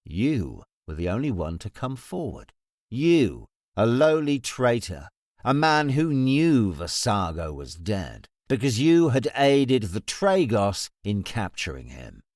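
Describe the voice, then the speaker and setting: An actor playing a cold, contemptuous lord in a fantasy audiobook, with an imperious, cutting and formal way of speaking. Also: male voice, medium pitch, very animated, takes it slowly, darkish tone, dry recording, studio quality. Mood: coldly accusing. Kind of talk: full-cast fantasy audiobook acting.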